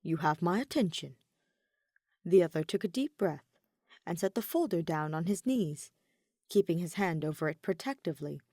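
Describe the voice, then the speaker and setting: A woman narrates a story in audiobook style. Her pitch is slightly low and moves in a very lively way, and the recording is dry and close. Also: normal speed, dry recording. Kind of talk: scripted narration of fan fiction.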